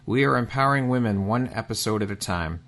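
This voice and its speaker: A man's voice: medium pitch, fast, a bit bright. A man speaking as an upbeat, encouraging podcast host. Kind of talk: upbeat scripted intros and interview talk.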